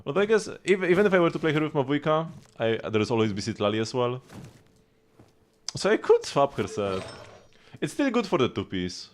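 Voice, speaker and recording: A man's voice: a little high, sing-song, fairly quick. A man giving casual live gameplay commentary as a streamer, rambling and thinking aloud. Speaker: game livestreamer. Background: game music.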